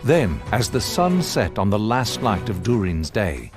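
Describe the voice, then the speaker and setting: A man narrating a fantasy video game as a solemn, measured storyteller. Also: on the low side, sing-song, over music. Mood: solemn storytelling.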